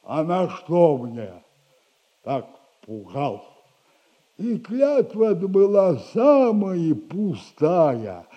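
A man's voice: on the high side, very animated, mellow, full character voice. An actor playing a boastful old retired soldier in a theatrical radio comedy, telling rambling, self-admiring tales in an old man's voice. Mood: gloating, mysterious. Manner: drawn-out, rambling, self-admiring storytelling with repeated catchphrase.

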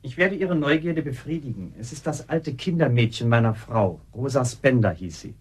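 A man's voice: mid-range voice, moderate pace, breathy edge, natural voice. An actor in a German radio drama playing a smug, mocking con man who talks with sardonic, theatrical politeness. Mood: smugly triumphant.

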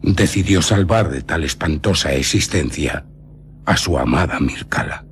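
An actor playing an eccentric old baron and vampire scholar in a Castilian Spanish radio drama, telling his tale dramatically with lingering pauses and a very raspy voice. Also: a bass voice, lively intonation, slight background noise, heavily acted. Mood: grave, instructive. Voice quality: very husky, raspy.

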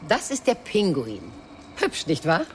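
A woman's voice: lowish voice, huge pitch swings, unhurried, darkish tone, slight background noise. An actress playing a shrewd middle-aged mother in a radio crime drama, chatty and probing with a sardonic edge. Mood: brisk, sociable.

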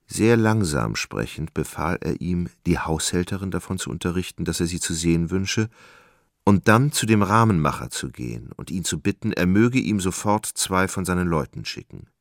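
A man narrating a literary radio drama in a measured, solemn manner. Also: deep voice, expressive, creaky, no room sound, clean studio sound.

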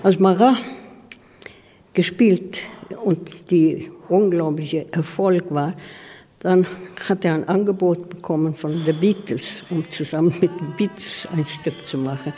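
Highish soft dark-toned guy, halting but emphatic memories, reverby with music under. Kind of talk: reminiscing in an interview.

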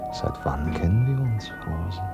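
A man's voice: sits low, gravelly, full character voice. An actor playing a tender, infatuated, tipsy man murmuring to his lover in a 1950s German radio play. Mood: enraptured. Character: infatuated, tipsy, dreamy male lover in a bar. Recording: dull 1950s radio recording with guitar music. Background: plucked guitar music.

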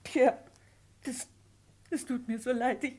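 Shy young country girl in a radio play, mellow breathy voice, halting. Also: a little high, steady tone. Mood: apologetic. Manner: hesitant, halting. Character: timid, earnest young French farm woman. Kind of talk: German radio crime drama acting.

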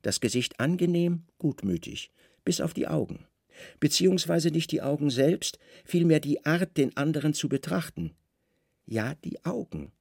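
Creaky-voiced male literary narrator. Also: on the high side, expressive, moderate pace, a little mellow, no echo, clean studio sound. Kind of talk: literary radio drama narration.

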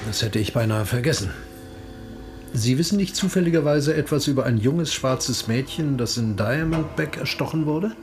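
An actor playing a sly, needling police interrogator in a radio crime drama, ironic and mock-casual. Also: male voice, medium pitch, lively intonation, normal speed, lightly acted. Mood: sly, probing.

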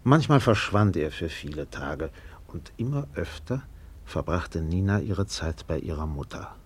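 A man's voice: low-pitched, lively intonation, a little slow, husky. A man reading literary scripted narration as the narrator of a radio play.

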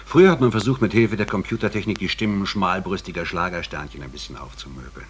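An actor playing a slick, boastful sound technician in conversational radio drama dialogue. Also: male voice, sits low, quick pace, a little hiss or hum, natural voice. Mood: breezy, boastful. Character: slick, boastful male sound technician showing off his machine.

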